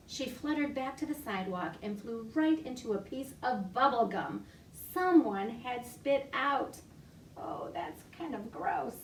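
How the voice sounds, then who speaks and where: A woman librarian reading a picture story aloud to young children from her home, animated and full of gasps. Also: a little high, distant mic, slight background noise. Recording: echoey room, voice off-mic.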